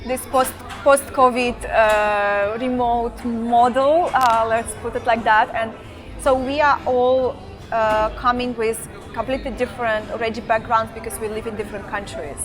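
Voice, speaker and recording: Young woman, highish voice, hesitant lively talk. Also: moderate pace, soft background music. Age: young adult. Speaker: startup founder. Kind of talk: interview. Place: indoor lounge with a sofa.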